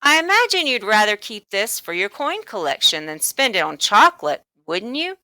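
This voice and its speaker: A woman's voice: medium pitch, very animated, moderate pace, sharp tone, dry recording. A woman voicing a hearty, coaxing male candy storekeeper for a children's storybook, with an emphatic, theatrical salesman's delivery. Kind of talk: children's storybook read-aloud.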